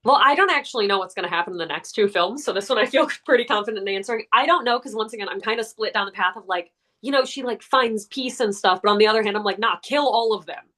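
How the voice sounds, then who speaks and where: A woman's voice: quick pace, hardly any pauses, sharp tone. Chatty, giggly woman guest. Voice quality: fairly deep voice for a woman. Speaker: actress guest. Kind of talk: casual interview.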